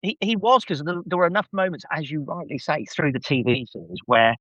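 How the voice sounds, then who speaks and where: A man's voice: a little high, expressive, brisk, a bit bright, no echo, dull audio. A man talking as a podcast guest in casual discussion, enthusiastic and emphatic with a wry edge.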